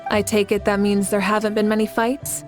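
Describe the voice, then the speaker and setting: Female leader for a video game voiced by a woman, assured and composed, medium pitch, caring. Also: flat delivery, faint music behind, natural voice. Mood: friendly, inquiring. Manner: measured, assured.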